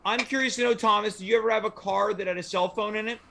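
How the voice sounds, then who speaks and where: A man's voice: high voice, fairly even intonation, fast, gravelly, bright, forceful tone, slight background noise. A man hosting a casual panel talk show, chatting with guests and moving things along.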